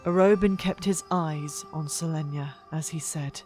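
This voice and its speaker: Audiobook narrator, mid-pitched, very unhurried, suspenseful and expressive, faint music underneath. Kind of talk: dramatic scripted fiction narration. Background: soft background music.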